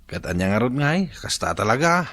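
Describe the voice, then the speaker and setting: A man's voice: medium pitch, sing-song, fairly quick, fairly bright tone, dry recording. A man with an Ilocano accent playing a struggling village farmer, commiserating conversationally in a comedy radio drama. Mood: resigned, commiserating.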